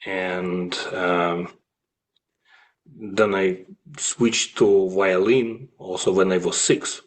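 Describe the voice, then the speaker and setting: A man from Russia with a Russian accent, telling his story as an interview guest in a halting way with frequent pauses. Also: low voice. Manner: halting, with frequent pauses and slight grammatical slips. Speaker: guest, classical violist and music professor.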